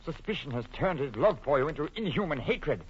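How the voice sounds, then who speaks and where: Muffled vintage radio horror: earnest, melodramatic man dreading madness, highish and animated. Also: brisk, slightly rough. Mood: anguished. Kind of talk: old-time radio horror acting. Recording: muffled old radio broadcast, hissy.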